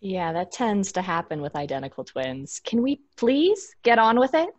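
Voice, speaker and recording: A sardonic, impatient young woman in an audio drama. Her pitch moves a lot, her manner is dry and sarcastic, and the sound is close and dry. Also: sing-song, moderate pace, dry recording, dull audio.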